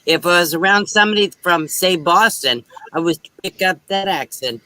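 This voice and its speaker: A man's voice: sits high, moderate pace, bright, forceful tone, no room sound. A man hosting a casual livestream group chat, rambling and self-deprecating. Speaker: livestream host.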